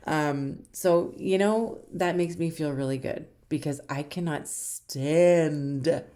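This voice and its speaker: A woman in her thirties from Canada, chatting casually and self-deprecatingly with a soft, soothing voice while she paints. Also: low-pitched, slow. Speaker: rock painting channel host.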